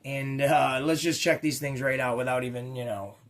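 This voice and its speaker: A man with a slightly raspy voice hosting a casual, unscripted unboxing vlog for toy collectors, rambling along with slangy, enthusiastic asides. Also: medium pitch, fairly even intonation, moderate pace, fairly bright tone. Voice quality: a little raspy. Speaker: toy collecting channel host.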